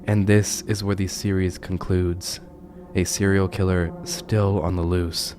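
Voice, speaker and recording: A man narrating a scripted horror commentary video as its host, with a grave, deliberate storytelling delivery. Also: deep voice, flat delivery. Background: music under the voice.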